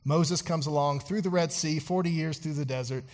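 A man's voice: a little high, normal speed, darkish tone. A man preaching a sermon in a church, talking conversationally with fillers and asides to the congregation. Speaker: pastor. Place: church sanctuary. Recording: long hall reverb from a church.

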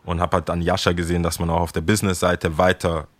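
Deep-voiced relaxed young man chatting. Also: fast talker, no echo. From Ghana. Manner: relaxed, chatty, laughs while telling stories. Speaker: guest, skate shop worker. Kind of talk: casual interview storytelling.

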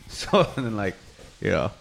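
Laid-back man with a lisp, lowish voice. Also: sing-song, slow, gravelly, a little hiss or hum, low-quality recording. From Mexico. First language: Spanish. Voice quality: trouble with th sounds. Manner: laid-back storytelling with frequent fillers and chuckles. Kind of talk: casual chat.

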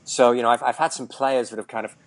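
A man's voice: medium pitch, level tone, fast talker. A Welsh man, around his forties, chatting casually as an interview guest, joking and rambling with lots of fillers and restarts. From Wales. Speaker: tennis coach, guest.

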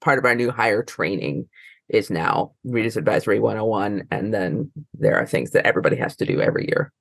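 A woman gives informal interview answers as a librarian. Her voice has a lot of fry and is recorded dry, without room sound. She explains haltingly, restarting and circling back as she goes. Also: extremely low pitch, no echo. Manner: halting, circling explanations with many false starts.

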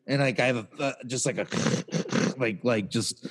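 A young man co-hosting a casual podcast, ranting in an exasperated, animated way with lots of restarts. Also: mid-range voice, normal speed. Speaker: podcast co-host. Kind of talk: casual chat.

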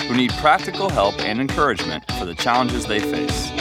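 A man's voice: medium pitch, very animated, a little fast. A man reading a scripted podcast introduction in a promotional announcer style, with guitar music behind. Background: loud plucked guitar music.

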